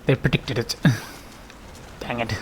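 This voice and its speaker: A man's voice: mid-range voice, steady tone, slow, slight background noise. A man giving casual let's play commentary, muttering to himself in short remarks. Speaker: gaming video creator. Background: faint game music.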